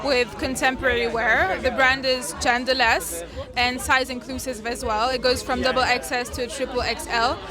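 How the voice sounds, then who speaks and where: A woman's voice: sits high, steady tone, normal speed. A woman from France with a French accent, introducing a brand in an interview as its founder. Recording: busy event with music and chatter. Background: music and crowd.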